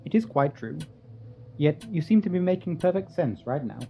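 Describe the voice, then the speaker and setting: An actor playing a courteous, composed asylum doctor in a radio drama, speaking in a genteel, reassuring manner. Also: male voice, fairly high pitch, very animated, normal speed, gravelly, dark tone, slight background noise.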